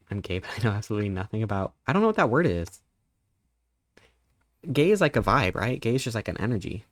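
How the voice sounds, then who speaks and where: A man in his thirties, a live streamer chatting casually and greeting viewers, rambling playfully with sudden squeaky jumps in pitch. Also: no room sound. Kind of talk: casual live-stream chat reading chat messages.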